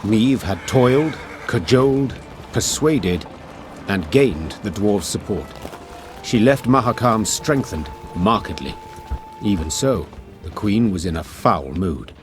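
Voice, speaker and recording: A man playing a storyteller, narrating a grave war saga for a fantasy game in a measured, solemn manner. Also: on the low side, animated, over music. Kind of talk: scripted dramatic storybook narration.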